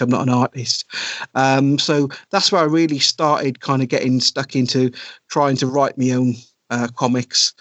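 A middle-aged man talks casually as an interview guest, hesitating often and restarting his sentences. His voice sits at a medium pitch. The recording is dry and a bit dull, like a lo-fi podcast line. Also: a bit bright, no echo. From South Wales. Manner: hesitant, many ums and restarts. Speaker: podcast guest, comic writer.

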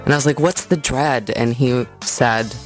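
A woman's voice: extremely low pitch, normal speed, soft background music. A woman singer-songwriter speaking as an interview guest, chatting informally and thinking aloud as she goes. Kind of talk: casual interview chat.

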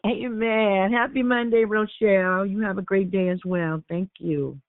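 A woman's voice: mid-range voice, fairly even intonation, a little slow, dry recording. Woman hosting a call over a tinny phone line. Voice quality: gets raspy. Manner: repeats greetings, warm affectionate address. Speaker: host of a prayer conference call. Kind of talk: call-in greetings. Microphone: telephone.

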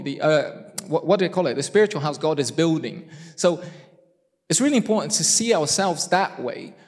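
A man's voice: on the high side, long reverb tail. A man from Brazil with a Brazilian Portuguese accent, a native Portuguese speaker, preaching a sermon as a guest preacher and making playful asides to the congregation. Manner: animated and self-deprecating, with asides to the congregation. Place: church hall.

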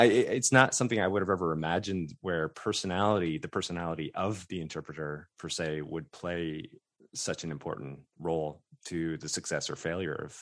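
A man's voice: low voice, fairly bright tone, dry recording, studio quality. A man hosting a podcast, asking curious questions and thinking aloud with many fillers. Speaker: podcast host. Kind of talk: casual interview talk.

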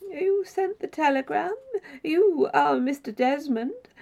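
Woman voicing a courteous, high-pitched older gentleman, lilting. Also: lively intonation, slow pace, creaky, full character voice. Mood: apologetic, welcoming. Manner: polite, formal, solicitous. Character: courteous, formal older gentleman host, warmly solicitous.

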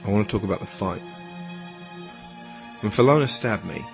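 A man's voice: fairly low pitch, normal speed, creaky. A man playing an earnest, troubled schoolboy in an audio drama. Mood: anxious, confiding. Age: teenage schoolboy figure. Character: earnest, troubled schoolboy pleading against violence. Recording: music under the voice.

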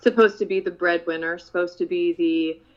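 A woman's voice: lowish voice, fairly even intonation, moderate pace, lo-fi sound. A woman from the Philippines, co-hosting a casual talk show, light and giggly, with many hesitant fillers. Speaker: co-host.

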